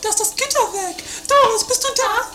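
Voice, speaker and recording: A performer playing a bossy, vain, theatrical sister in an improvised trashy comedy audio drama, loud and sarcastic. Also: very high voice, fairly quick, heavy background noise.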